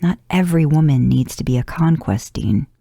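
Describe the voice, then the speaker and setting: Woman voicing an earnest, soft-spoken man. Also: deep voice, lively intonation, moderate pace, vocal fry, dry recording, clean studio sound, heavily acted. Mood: irritated. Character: earnest, considerate man, gently chiding his brother.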